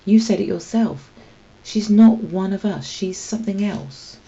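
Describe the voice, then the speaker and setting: A woman's voice: mid-range voice, measured pace, gravelly, mellow, muffled recording, pitched above the performer's own voice. A woman voicing a tough, teasing female street cat in a children's storybook, with a blunt, mocking delivery. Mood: scornful, warning. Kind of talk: children's storybook read-aloud.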